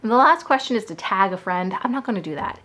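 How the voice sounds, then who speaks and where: A woman's voice: mid-range voice, expressive, quick pace, a bit bright. A young woman from the Boston area, a book vlogger chatting casually to camera, rambling with lots of asides.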